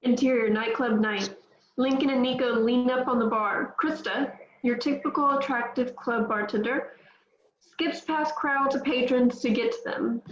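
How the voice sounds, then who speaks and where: Woman narrating a script table read; slightly high voice, roomy sound. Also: steady tone, a little slow, highs cut off, a little hiss or hum. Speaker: narrator reading stage directions.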